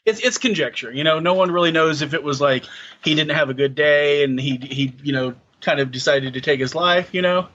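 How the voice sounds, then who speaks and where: A man's voice: fairly high pitch, brisk, fairly bright tone, highs cut off. A man in his thirties chatting casually on a podcast, hesitant, with many fillers and restarts. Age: 30s. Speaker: podcast co-host. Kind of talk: casual chat.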